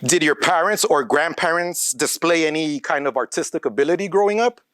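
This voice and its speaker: A man's voice: on the high side, brisk, a bit bright, dry recording. A man interviewing a guest with direct, plain questions.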